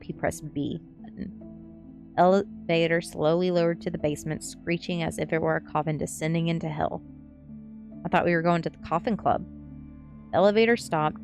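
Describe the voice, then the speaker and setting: Low-voiced woman reading a story. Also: a little mellow. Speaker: streamer reading a novel aloud. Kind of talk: narrated story reading, ASMR stream.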